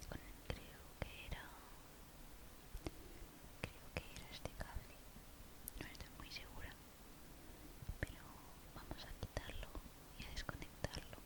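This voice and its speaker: Whispering woman, soft ASMR role-play. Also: a little slow, long pauses, rough recording. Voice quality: breathy, unvoiced whisper. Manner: soothing, careful, reassuring, with diminutives. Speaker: ASMR creator role-playing a robot repairer.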